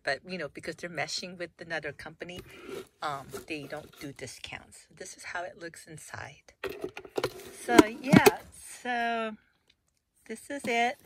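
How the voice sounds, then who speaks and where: A woman vlogging casually at home, with a hoarse voice, rambling through an unboxing with lots of fillers and restarts. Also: fairly low pitch, background noise.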